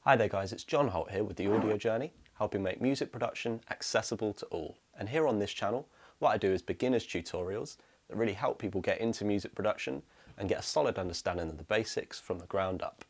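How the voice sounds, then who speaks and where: Man, twenties, low voice, tutorial host, explanatory step-by-step delivery. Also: fast, soft background music. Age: 20s. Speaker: music production tutorial host. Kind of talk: instructional screencast tutorial, explanatory.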